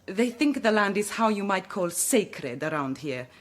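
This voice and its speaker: A woman's voice: mid-range voice, big pitch swings, measured pace. An actress playing a proud, outspoken woman from Milan with an Italian accent and emphatic, slightly imperfect English in a radio drama. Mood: indignant. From Milan, Italy.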